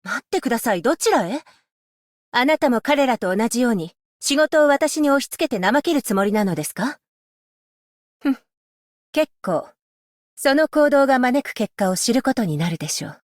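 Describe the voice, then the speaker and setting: Woman voicing a dutiful magistrate-scholar for a game: formal, politely stern, high-ish voice, dry studio sound. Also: expressive, moderate pace, pauses often, dry recording, close to an everyday voice. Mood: composed. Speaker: voice actress. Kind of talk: game character voice lines. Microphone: close studio mic, dry.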